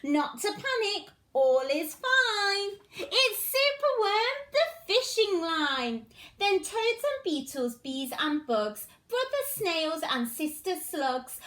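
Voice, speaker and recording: A woman's voice: very high voice, a bit bright. A woman reading a children's story aloud as a teacher, with exaggerated, sing-song expression in a roomy, echoing space. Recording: roomy, echoing indoor sound.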